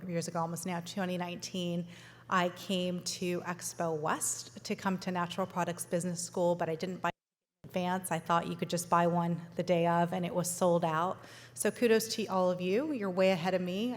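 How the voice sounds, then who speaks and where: A woman who grew up in Los Angeles gives an informal, conversational keynote as a founder. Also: on the low side. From Los Angeles, born in Sudan. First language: not English, learned it at five. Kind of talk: informal keynote talk to an audience. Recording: echoey hall, long reverb.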